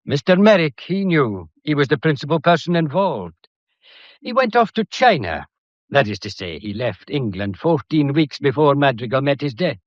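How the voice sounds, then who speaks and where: An actor playing a methodical, courteous but probing police inspector in a detective drama, with a deliberate, pedantic and wryly theatrical way of talking. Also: male voice, fairly high pitch, big pitch swings, no room sound, muffled recording. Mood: pompous, expansive. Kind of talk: detective radio drama acting.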